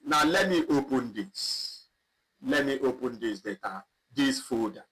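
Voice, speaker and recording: A man teaching a live online spreadsheet lesson, talking slowly and repeating himself as he clicks through data. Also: medium pitch, animated, fairly bright tone, husky, rough recording. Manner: slow, repetitive, thinking aloud while clicking. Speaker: instructor. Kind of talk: live online software tutorial.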